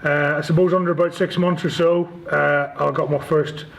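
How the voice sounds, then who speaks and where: A man's voice: fairly high pitch, little pitch movement, normal speed, gravelly. A man in his forties with a Northern Irish accent, giving casual interview answers as a guest, with hesitant, stop-start phrasing. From Northern Ireland. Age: 40s. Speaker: interview guest, rugby league club chief executive.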